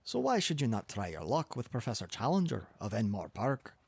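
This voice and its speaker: A man's voice: mid-range voice, sing-song, fairly quick, gravelly, breathy edge, no echo, full character voice. A man voicing a kindly, wry old newspaper editor with a Scottish accent in an audiobook story. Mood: musing, sly.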